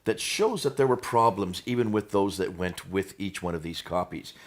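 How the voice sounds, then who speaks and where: A man with an American accent and a low-pitched voice co-hosts an explanatory religious discussion. He drives points home emphatically, repeating phrases and turning statements into questions for his partner. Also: lively intonation, moderate pace. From United States. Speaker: co-host, teacher.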